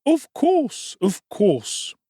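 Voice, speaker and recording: A man voicing a gaunt, jocular middle-aged undertaker with a high, wheedling tradesman's whine. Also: huge pitch swings, drawn-out words, mellow, breathy edge, no room sound, studio quality, exaggerated voice.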